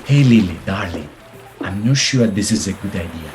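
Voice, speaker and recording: A man playing a suave, evasive Italian husband in a radio play, hesitant and smooth-talking. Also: low voice, lively intonation, normal speed, music in the background. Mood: uneasy, evasive. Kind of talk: radio drama acting.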